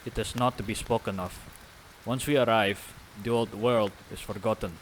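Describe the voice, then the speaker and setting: A man's voice: on the low side, steady tone, normal speed, slight background noise, close to an everyday voice, rough recording. Guarded, proud islander father, acted by a man. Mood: guarded, formal. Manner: formal, terse phrasing. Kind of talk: audio drama acting.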